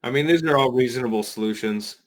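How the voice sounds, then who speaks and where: A man's voice: medium pitch, quick pace. A man talking casually as a podcast panelist, brainstorming in an informal, jokey way with hesitant phrasing.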